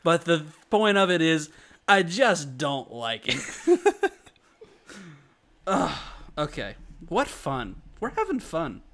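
A man's voice: wildly swooping pitch, slow pace, long pauses, a bit bright. Giggly young man co-hosting a podcast. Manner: giggly, breaks into high laughter. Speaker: podcast co-host. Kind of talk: casual podcast banter.

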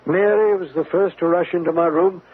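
A man's voice: high voice, fairly even intonation, a little fast, slightly rough. An actor playing a stern, troubled older banker in a classic radio drama, formal and emphatic in his speech. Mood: distraught. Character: anguished, stern, proud older banker father. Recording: tinny, noisy old radio recording.

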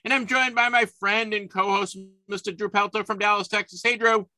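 A man in his fifties chats casually as a sports podcast host, and he often stumbles and repeats himself. His voice sits a little high and sounds bright and forceful. The recording is dry and close. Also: fairly even intonation, a little fast, no room sound, faint music behind. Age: 50s. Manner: chatty, repeats words and restarts often.

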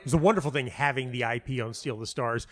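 Halting, emphatic man telling his own story. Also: mid-range voice. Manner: halting, self-interrupting, emphatic repetition. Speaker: guest, playwright and audio dramatist. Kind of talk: casual interview talk, telling personal anecdotes.

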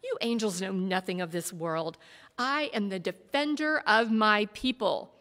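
A woman voicing a proud, anxious warrior king who speaks loudly and insistently, heard in a reverberant hall. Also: high-pitched, animated, a little slow, creaky, pitched above the performer's own voice, exaggerated voice. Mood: defiant. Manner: loud, insistent, pleading. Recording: long reverb of a big hall.

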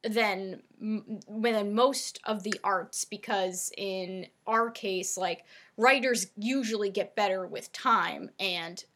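A woman's voice: medium pitch, takes it slowly, a bit bright. A woman in her thirties co-hosting a casual podcast, rambling with lots of "like" and "um". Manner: rambling, filler-heavy, lots of "like". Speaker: podcast co-host.

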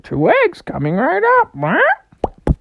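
Man doing a goofy high-pitched goose. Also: huge pitch swings, takes it slowly, gravelly, dull audio, a little hiss or hum, full character voice. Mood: cheerful. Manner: odd parrot-like talk. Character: goofy, squawky, eager magic golden goose.

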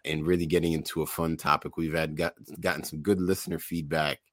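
A man hosting a casual podcast, addressing listeners in measured, promotional show-host phrases. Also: extremely low pitch, level tone, no room sound, studio quality.

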